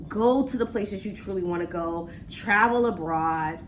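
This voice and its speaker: Woman, late forties, relaxed conversational guest, faint noise. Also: mid-range voice, a little slow, rough recording. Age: 40s. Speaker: guest, vlogger's sister. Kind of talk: casual chat.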